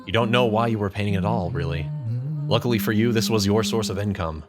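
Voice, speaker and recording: A man's voice: fairly low pitch, fast talker, loud music behind the voice. A man reading scripted second-person story narration as the narrator of a fan fiction drama.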